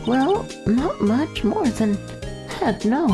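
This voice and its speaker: A woman's voice: high-pitched, big pitch swings, slow pace, exaggerated voice. A woman voicing a playful, upbeat teenage girl assistant in a voiced visual novel playthrough. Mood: cheeky. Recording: faint game music behind. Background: video game music.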